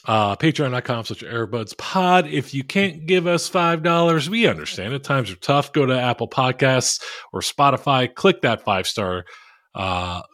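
A man co-hosting a podcast over a call, rambling and self-mocking in a flat monotone with crude jokes. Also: medium pitch, a little slow, dry recording, clean studio sound. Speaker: podcast co-host. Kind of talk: casual podcast chat.

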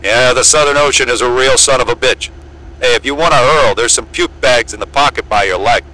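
Guy playing a seasoned antarctic pilot, bright voice, breezy and matter-of-fact, audio drama with engine around him. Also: medium pitch, a little fast, lightly acted, low-quality recording. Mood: breezy, wry. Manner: breezy, matter-of-fact, wry, salty-tongued. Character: gruff, friendly, seasoned male Antarctic bush pilot. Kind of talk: naturalistic audio drama acting with aviation radio jargon.

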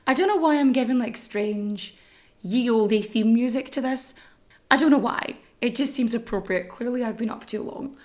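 A woman hosting a history podcast, telling stories in a chatty, swearing, self-mocking way, her voice still a little croaky. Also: fairly high pitch, normal speed, darkish tone. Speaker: podcast host. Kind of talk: casual comic monologue.

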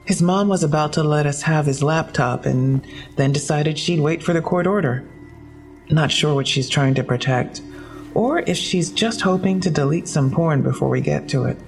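Gravelly, matter-of-fact female detective. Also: deep voice, normal speed, soft background music. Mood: dry, businesslike. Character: frank, matter-of-fact police detective briefing a colleague. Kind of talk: audio drama dialogue.

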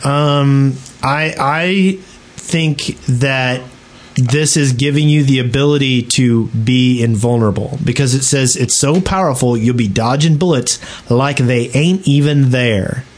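A man's voice: mid-range voice, a little slow. A man co-hosting a podcast, talking casually and speculatively in an informal group discussion. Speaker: podcast co-host. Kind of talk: casual speculative discussion of a video game update.

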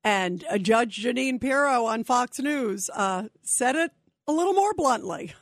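A woman's voice: on the high side, animated, a little slow. A woman hosting a radio talk show, giving opinionated commentary and talking straight to her listeners. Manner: opinionated, conversational, addresses listeners directly.

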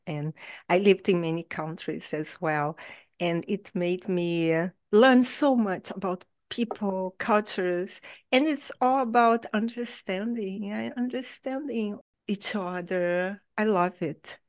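A woman's voice: fairly low pitch, dry recording. A woman hosting a podcast interview, affirming her guest and asking questions. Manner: affirming, slow and deliberate. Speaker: podcast host.

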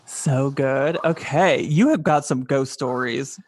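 A man hosting a podcast, chatting casually with a guest in an animated, jokey, reactive way. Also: mid-range voice, slow. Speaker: podcast host. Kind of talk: casual chat with a phone-in guest.

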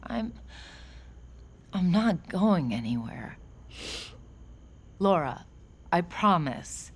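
A woman's voice: fairly low pitch, very animated, drawn-out words, husky, exaggerated voice. A woman acting a guarded, teasing young woman in a fiction podcast, speaking in a casual, dry, understated way. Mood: reassuring.

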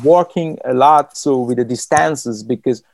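A man from Iran, a writer, speaking in an interview in a thoughtful, halting way with many restarts and fillers. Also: medium pitch, vocal fry, darkish tone. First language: Persian.

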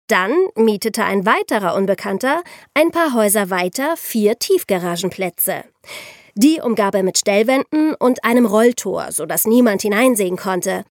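A woman hosting a podcast, telling true-crime stories in a conversational way and addressing listeners directly, like an animated storyteller. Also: medium pitch, dry recording, clean studio sound. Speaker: podcast host.

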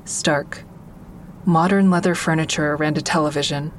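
Actress as a low, tense woman narrator. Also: fairly even intonation, moderate pace, a little hiss or hum, natural voice. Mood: somber, uneasy. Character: tense, watchful woman narrating her own troubled story. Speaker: narrator and lead actor in a fiction podcast. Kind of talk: first-person dramatic narration.

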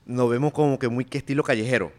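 A young man chatting as a podcast guest, easygoing and slangy, often trailing into a low mutter. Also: steady tone, quick pace, a little mellow. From Korea. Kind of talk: casual chat.

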